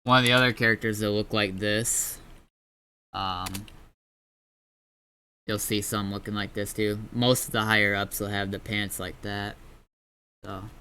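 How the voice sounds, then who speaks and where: A man chatting casually in an online roleplay game, in a halting, rambling and informal way. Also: fairly low pitch, level tone, sharp tone, slight background noise. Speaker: streamer playing a gang leader in online roleplay.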